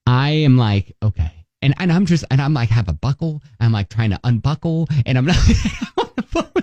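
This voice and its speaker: A man with a medium-pitched voice co-hosts a radio show in a dry studio. His intonation swings widely as he spins casual, comic anecdotes, voicing his own thoughts as little bits of dialogue. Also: big pitch swings, normal speed, warm tone, no room sound, lo-fi sound. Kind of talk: casual chat, comic personal storytelling.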